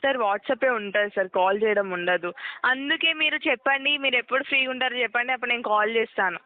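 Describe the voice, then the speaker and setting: A woman customer care agent speaks quickly over a narrow, tinny phone line. She gives step-by-step instructions, repeats them and checks that the caller follows. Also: on the high side, no echo. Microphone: telephone call.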